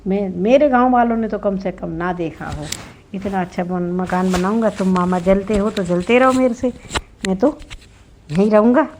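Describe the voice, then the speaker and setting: A woman's voice: medium pitch, normal speed, noisy background, close to an everyday voice. A woman voicing a stubborn young village labourer in a folk tale, boastful and defiant. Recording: dull lo-fi recording.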